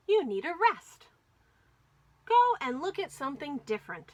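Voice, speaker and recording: A woman's voice: high voice, very animated, a little slow, dry recording, lo-fi sound, pitched above the performer's own voice. A woman voicing a bossy but caring wife in a children's storybook, with an insistent manner. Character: dentist's bossy, caring wife giving firm advice. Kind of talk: children's storybook character reading.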